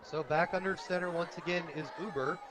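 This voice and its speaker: American guy doing radio football play by play, pretty high voice, loud crowd around him outside. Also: fairly even intonation, a little fast. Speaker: radio play-by-play sports announcer. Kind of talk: live American football commentary. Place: outdoors at a high school football game. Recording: outdoor stadium broadcast with crowd noise. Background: crowd at a stadium.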